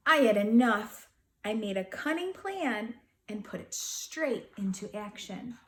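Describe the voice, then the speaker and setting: A woman's voice: fairly high pitch, lively intonation, measured pace. A woman voicing a small, indignant, cunning furry beast who tells his storybook tale dramatically. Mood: triumphant. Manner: dramatic, aggrieved storybook telling.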